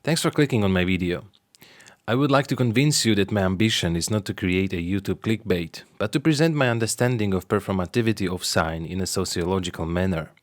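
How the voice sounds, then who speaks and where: A man with a Slovak accent talks through a scripted explainer in English, his first language being Slovak. His voice sits on the low side and is recorded close and dry, with no room sound. He reads in a measured, careful way. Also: no echo. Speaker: sociologist and marketer presenting his channel.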